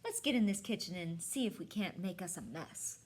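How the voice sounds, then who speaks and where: A woman voicing a kindly, gently teasing Southern grandmother in a storybook, folksy and coaxing. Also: fairly low pitch, expressive, normal speed, husky. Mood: playful. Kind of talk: storybook read-aloud.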